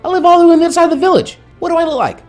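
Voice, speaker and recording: A man's voice: extremely high pitch, rapid-fire, faint music behind, voice pushed up. A man voicing a brash, stubborn teenage boy ninja in a story, whiny and indignant.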